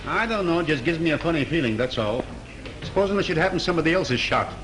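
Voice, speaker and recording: A jittery, superstitious studio hand with folksy, slangy speech, acted by a man with a fairly high pitch. Heard on an old sound film track full of hiss. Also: lively intonation, fast, natural voice. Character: nervous superstitious male studio worker. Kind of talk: 1930s film acting.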